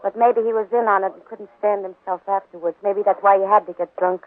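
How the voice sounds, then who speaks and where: A woman's voice: medium pitch, fairly even intonation, a little fast, close to an everyday voice. An actress playing a frank, defiant Old West saloon woman in a 1950s radio western, blunt and plain-spoken. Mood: confiding, concerned. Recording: muffled, narrow 1950s radio recording.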